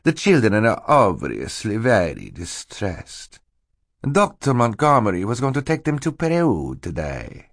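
A man's voice: fairly low pitch, wildly swooping pitch, measured pace, dry recording, studio quality, pitched above the performer's own voice, exaggerated voice. A man narrating an audiobook, voicing a scheming villain who poses as a meek, fluttery, apologetic assistant. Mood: smooth, oily. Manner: hissing menace switching to fluttery, falsely apologetic politeness. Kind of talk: audiobook character acting.

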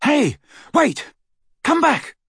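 A man's voice: fairly high pitch, sing-song, measured pace, breathy edge, no room sound, studio quality, heavily acted. A man voicing a determined, hot-headed teenage boy in an audiobook, with an insistent, fierce manner. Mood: shouting.

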